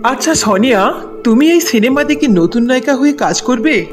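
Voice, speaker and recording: A woman's voice: high voice, lively intonation, moderate pace, over music. A woman voicing a stern, impatient film director in a cartoon story. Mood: curious.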